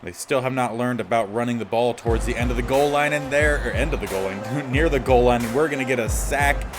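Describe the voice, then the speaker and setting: A man with a slightly raspy voice giving casual gameplay commentary as the host of a gaming series. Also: mid-range voice, fairly quick, over music.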